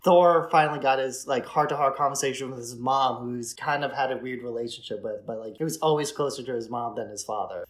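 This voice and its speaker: Male co-host for a film chat podcast: average pitch, loose conversational phrasing, informal delivery. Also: fairly quick, few pauses. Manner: informal, frequent use of "like". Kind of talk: casual film discussion podcast.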